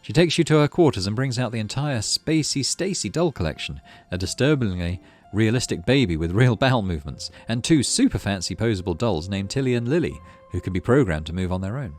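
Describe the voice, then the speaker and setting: A man with a low voice and lively intonation narrates a game's story. He slips between expressive reading and offhand let's play commentary, with faint music behind him. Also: fairly quick, hardly any pauses. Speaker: gaming channel host.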